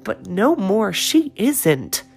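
Firm, soothing mother, played by a woman, lively voice, faint music behind. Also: mid-range voice, very slow, vocal fry, heavily acted. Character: warm, firm mother of a poor household.

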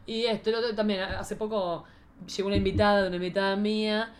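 A woman's voice: mid-range voice, level tone, quick pace, a little hiss or hum. A woman with an Argentine accent hosting a casual chat in a playful, joking, theatrical manner. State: pregnant. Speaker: podcast host.

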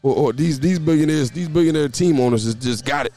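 Hoarse man chatting outdoors, faint music. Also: a little high, fast talker, mellow. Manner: stammering restarts, repeats words. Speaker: podcast host and youth basketball coach. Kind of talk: casual chat. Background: faint background music.

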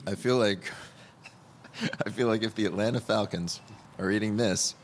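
A man's voice: fairly low pitch, unhurried, a little hiss or hum. A man reporting on a dish he is tasting, interviewing a chef with wry jokes. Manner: wry, joking.

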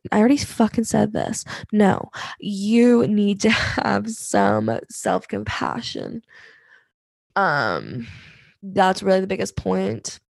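Raspy young woman hosting a candid podcast. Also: medium pitch, a little mellow, dry recording. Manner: candid, rambling, many fillers and restarts. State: hoarse from singing. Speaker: podcast host. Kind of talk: candid solo podcast talk.

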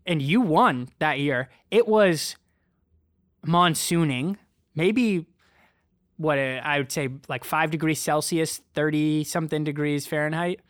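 A man's voice: fairly high pitch, measured pace, dry recording, clean studio sound. A young man chatting casually and animatedly, joking at his own expense and filling his talk with "like". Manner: animated, self-mocking, lots of "like". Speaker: co-host, runner. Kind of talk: casual chat.

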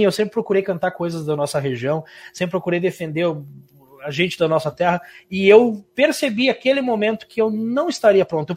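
Heartfelt southern Brazilian man, fairly high voice. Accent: southern Brazilian gaúcho. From Santa Catarina, Brazil. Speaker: musician guest. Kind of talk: interview conversation.

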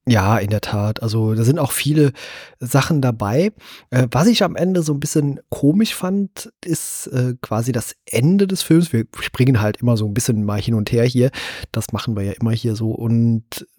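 A man hosts a relaxed film podcast in a mid-pitched, somewhat husky voice. He talks in a chatty way, feeling out his thoughts as he goes. The sound is dry, with hardly any room on it. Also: moderate pace, no room sound. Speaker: podcast host. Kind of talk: casual film discussion.

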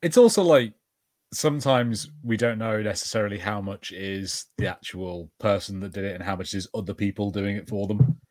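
A man with a slightly deep voice talks casually as a podcast co-host. He offers conversational film commentary, and the sound is dry with little room. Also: moderate pace, darkish tone, dry recording.

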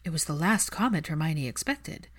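A woman's voice: deep voice, expressive, moderate pace, fairly bright tone, husky. A woman reading scripted fiction as an audiobook narrator.

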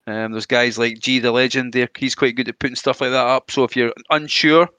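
A man's voice: medium pitch, little pitch movement, a little fast, sharp tone, clear, resonant tone, no echo. A man co-hosting a gaming podcast, friendly and enthusiastic, with a noticeable accent he mentions himself. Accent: regional British or Irish. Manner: friendly, enthusiastic gamer talk. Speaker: podcast co-host. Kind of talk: casual podcast chat.

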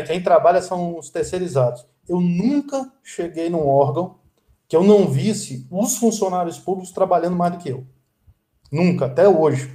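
A man in his fifties from Brazil, a guest telling stories in a relaxed, chatty way. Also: fairly high pitch, echoey room. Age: 50s. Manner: storytelling, lively and chatty. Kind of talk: casual interview chat.

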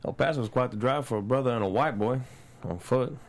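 A man's voice: mid-range voice, fairly quick, lightly acted. A man playing a stern, proud, self-righteous father in his late thirties in a screenplay table read, speaking bluntly. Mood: dry, skeptical.

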